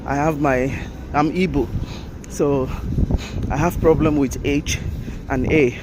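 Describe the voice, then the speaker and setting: A man's voice: a little high, fairly even intonation, slow pace. A man with a Nigerian accent, an English teacher chatting casually while walking and laughing now and then. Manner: chatty, laughing, drops in Japanese fillers. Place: outdoors by a road. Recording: outdoor traffic noise.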